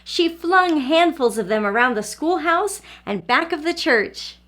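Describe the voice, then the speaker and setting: A woman's voice: sits high, expressive, a little slow, a bit bright. A woman reading a children's picture book aloud as a teacher, expressively and addressing young listeners directly.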